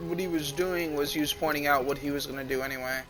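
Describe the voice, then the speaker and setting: A man's voice: on the high side, fairly even intonation, fast, poor audio. A man chatting casually on a live stream, explaining his reasoning in an analytical way. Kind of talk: casual chat. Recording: music behind the voice.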